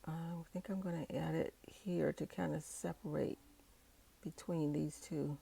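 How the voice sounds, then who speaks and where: A woman with a cold, her voice hoarse and froggy, casually talking herself through decorating a planner page, with plenty of okays and uhs as she thinks aloud. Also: deep voice, fairly even intonation, unhurried, warm tone. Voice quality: raspy, froggy voice. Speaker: digital planner video creator. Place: indoors at a tabletop, hands working an overhead-filmed tablet.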